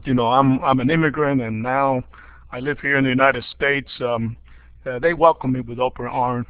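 Older Cuban-born man, halting and warm-hearted. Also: medium pitch, no echo. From Cuba. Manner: hesitant, many fillers and restarts, chuckles at his own jokes. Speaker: dean of a school of education. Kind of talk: casual spoken overview on a webinar. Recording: dull lo-fi online audio.

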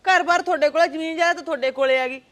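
A woman's voice: very fast, a bit bright. A woman playing a lamenting older mother. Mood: indignant, aggrieved. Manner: pleading, lamenting, pitch rises in arguments. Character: grieving older mother, defensive, talks back. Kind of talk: family drama skit.

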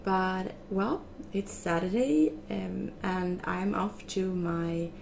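A woman's voice: medium pitch, slow pace, gravelly, a little mellow, a little hiss or hum. A woman in her twenties hosting a gaming video, chatting casually to camera at a desk in a small room. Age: 20s. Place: small furnished room indoors.

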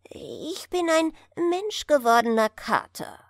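A woman plays an earnest tomcat who longs to be human. The speech is formal and courteous, on the high side, with very lively swings in pitch. It is a proud, dignified storybook animal. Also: takes it slowly, dry recording, studio quality, exaggerated voice. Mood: eager, proud.

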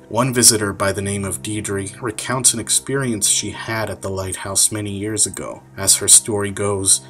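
A man's voice: on the low side, fairly bright tone. A man reading scripted documentary narration as a narrator. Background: music.

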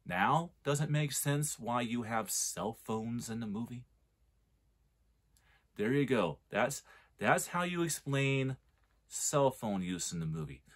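A man's voice: mid-range voice, lively intonation, takes it slowly, fairly bright tone. A man in his forties giving a casual, rambling film review, often restarting his sentences as he argues a point. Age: 40s. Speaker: movie reviewer.

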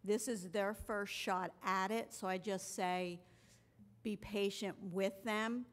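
Mid-pitched woman explaining patiently. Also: fairly even intonation, slow pace. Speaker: finance official. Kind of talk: explanation at a public meeting. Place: council chamber.

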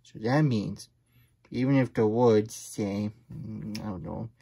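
A man voicing a childlike, fretful and grumbly plush hedgehog who hosts a puppet story time, stammering through exasperated asides with a husky voice. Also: on the low side, slow, mellow, exaggerated voice. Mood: reluctant, fretful. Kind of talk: puppet read-aloud with chatty asides.

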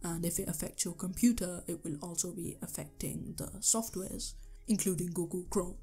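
A young man with a sore, hoarse throat, talking through a computer tutorial step by step, with the odd um. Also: high voice, normal speed, mellow, faint music behind. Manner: earnest step-by-step instructions with ums. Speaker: tech tutorial youtuber. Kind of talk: screen-recorded tutorial voice-over.

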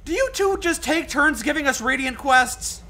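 A man's voice: extremely high pitch, animated, moderate pace, pushed and bright. A man co-commenting on a game with sarcastic wisecracks. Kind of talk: casual gaming commentary.

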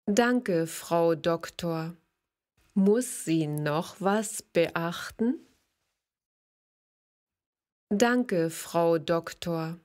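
Grateful mother voiced by a woman, low-ish voice, very slow, careful. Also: darkish tone. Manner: slow, careful lesson reading.

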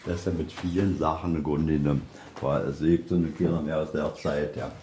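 A man with a husky voice giving an informal, explanatory guided tour as a museum guide. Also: extremely low pitch, fairly even intonation, fairly quick, mellow, a little hiss or hum. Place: indoors in a museum.

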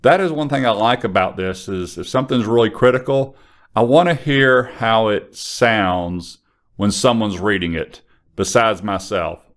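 A man presenting a casual software tutorial into a close studio mic. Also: fairly low pitch, measured pace. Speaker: software trainer. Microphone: large studio condenser microphone on an arm, close.

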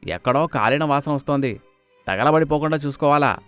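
A man voicing a cheeky, outspoken household servant in an animated moral story, with a folksy, taunting manner. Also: on the high side, fairly quick, clean tone, soft background music, natural voice. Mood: sarcastic.

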